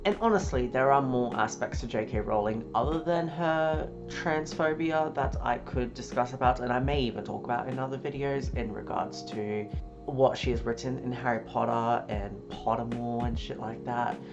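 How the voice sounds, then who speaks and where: A YouTuber talking in a casual opinion vlog, a little sick and sniffly, energetic and swearing freely. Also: mid-range voice, normal speed. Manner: energetic, swearing, with frequent cheers and laughs. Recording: dull lo-fi audio with guitar music behind.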